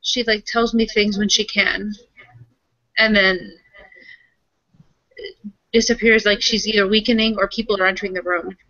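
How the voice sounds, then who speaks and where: A woman's voice: mid-range voice, fairly even intonation, normal speed, long pauses, sharp tone, dry recording, muffled recording. A woman playing in a tabletop roleplaying session, speaking casually in and out of character. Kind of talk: casual in-character game dialogue.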